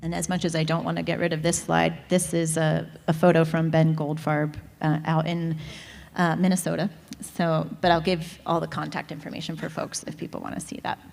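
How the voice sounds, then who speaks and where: Low gravelly woman in a hall. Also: little pitch movement, brisk, darkish tone. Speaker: host and presenter. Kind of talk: conference panel moderation. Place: large conference hall. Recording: reverberant hall.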